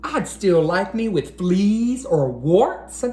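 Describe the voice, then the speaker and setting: A man reading aloud to children like an educator, with an expressive, encouraging manner that speaks straight to them. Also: high voice, slow pace. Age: adult. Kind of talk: animated children's read-aloud. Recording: roomy echo, faint background noise.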